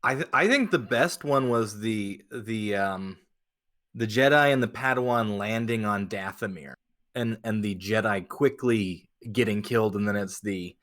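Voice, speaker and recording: Slightly low-voiced man co-hosting a podcast. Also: measured pace, a bit bright. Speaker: podcast co-host. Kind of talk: casual podcast chat.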